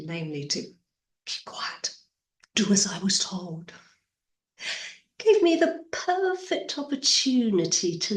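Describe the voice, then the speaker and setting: Cunning aristocratic woman, played by an actress, theatrical monologue. Proud, disdainful, measured pace, reverberant room. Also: lowish voice, distant mic. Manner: poised, deliberate, disdainful. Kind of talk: dramatic stage monologue. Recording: echoey room.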